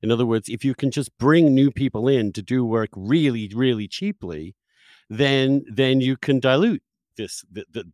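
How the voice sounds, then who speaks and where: A man's voice: medium pitch, moderate pace, a little mellow, no echo, studio quality. A man hosting an intellectual interview, arguing his points emphatically in conversation. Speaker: interview host.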